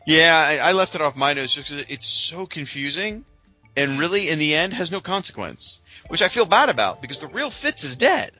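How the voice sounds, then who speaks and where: Bright, forceful man co-hosting a podcast. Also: on the high side, sing-song, normal speed, soft background music, low-quality recording. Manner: informal, opinionated, chatty. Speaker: podcast co-host. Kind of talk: casual discussion with loose summaries from notes.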